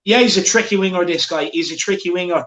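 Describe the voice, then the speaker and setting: A man's voice: sits high, fast, echoey room, over a noisy crowd. A man hosting a football watchalong, chatting casually and reading out viewer comments. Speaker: watchalong host.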